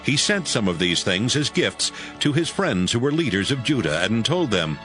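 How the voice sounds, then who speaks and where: A man narrating dramatized scripture from a script, in a clearly phrased storytelling manner. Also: mid-range voice, very animated, moderate pace, fairly bright tone. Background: music.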